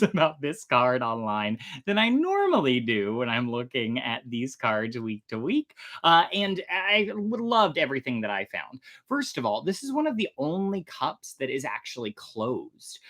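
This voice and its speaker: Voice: mid-range voice, fairly bright tone. A storytelling show host presenting casually to an online audience in a chatty, theatrical way. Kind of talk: casual livestream presenting to camera.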